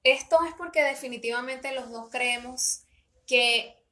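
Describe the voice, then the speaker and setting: A woman's voice: high-pitched, measured pace, sharp tone, echoey room. A woman from Peru co-hosting a casual podcast about learning English, talking with frequent hesitations and fillers. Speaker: podcast co-host.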